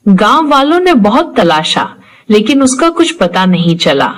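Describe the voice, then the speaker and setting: A woman narrates a scripted horror story for a cartoon. Her pitch is average for a woman and moves with lively, animated swings through each sentence. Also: measured pace.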